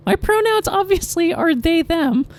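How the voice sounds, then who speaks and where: Excitable high-pitched little termite kid. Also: male voice, measured pace. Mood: excited, indignant. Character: excitable squeaky child termite, two days old. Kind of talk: improvised comedy podcast.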